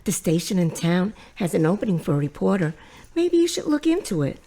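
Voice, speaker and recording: Woman acting a fussing mother, swooping, creaky voice. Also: fairly low pitch, big pitch swings, fairly quick, mellow. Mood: pushy, motherly. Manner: fussing, maternal, probing. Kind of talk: audio drama acting.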